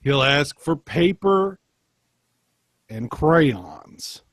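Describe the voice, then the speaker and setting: A man reading as a slightly exasperated children's storybook narrator, in a sing-song, drawn-out delivery. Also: a little high, sing-song, fairly bright tone, dry recording, clean studio sound, heavily acted. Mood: mock exasperation. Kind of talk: expressive children's picture book read-aloud.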